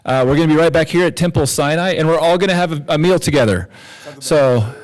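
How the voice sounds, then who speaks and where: A man's voice: a little high, quick pace, low-quality recording. A man casually making announcements to a class in a synagogue chapel, often correcting himself as he goes. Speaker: class organizer. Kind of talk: informal announcements.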